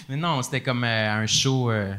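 A man's voice: mid-range voice, sing-song, unhurried, pushed and bright. A man from Gaspésie with a Québécois French accent, a podcast guest who laughs often and pokes fun at himself. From Gaspésie, Quebec. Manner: laughs often, modest and self-mocking. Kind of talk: casual podcast chat.

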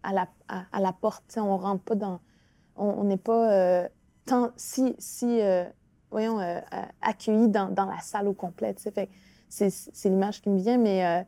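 A woman with a Quebec French accent and Innu as her first language, a guest author speaking thoughtfully with many pauses and restarts in an interview. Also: medium pitch, steady tone, warm tone, no echo. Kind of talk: reflective conversational interview.

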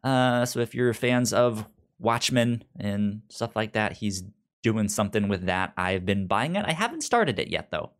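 A man co-hosting a casual comic book discussion, enthusiastic, with a halting delivery that repeats words mid-thought. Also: on the low side. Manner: enthusiastic, animated, breaks into mock quotes. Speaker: podcast co-host.

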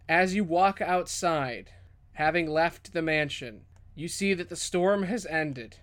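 Male game master, fairly high voice, dramatic descriptive narration for a tabletop adventure. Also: a bit bright. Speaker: game master and podcast host.

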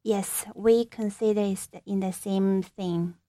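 A young woman speaking slowly and carefully, pausing often with fillers and restarting her sentences. Her voice sits at an ordinary pitch and sounds dry and close, with no room around it. She talks as an interview guest explaining her research. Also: steady tone, mellow, no echo. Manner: halting, many fillers and restarts. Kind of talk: hesitant conversational answers.

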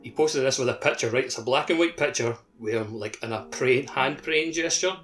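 A man's voice: mid-range voice, fairly quick, over music. A man on a game podcast telling a funny story, laughing through it. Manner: giggly, storytelling. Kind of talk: casual podcast chat.

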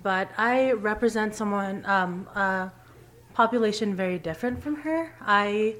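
A woman's voice: medium pitch, a little slow, fairly bright tone. A young woman born in the Philippines and raised in Canada, chatting candidly as a podcast guest with self-deprecating anecdotes. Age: young adult. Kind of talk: casual chat, anecdote.

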